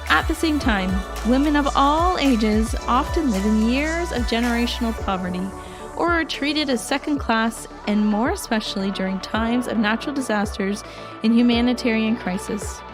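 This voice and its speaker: A woman with a fairly high voice delivers scripted promotional narration for a charity. Music plays clearly behind her. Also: normal speed. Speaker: promo announcer.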